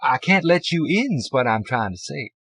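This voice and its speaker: A man's voice: medium pitch, big pitch swings, normal speed, a bit bright, husky, dry recording, studio quality, pitched above the performer's own voice, heavily acted. A man voicing a pleasant, folksy middle-aged woman who works as a bank clerk, chatty and colloquial, in an audiobook. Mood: sympathetic, apologetic. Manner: folksy, colloquial, ungrammatical talk.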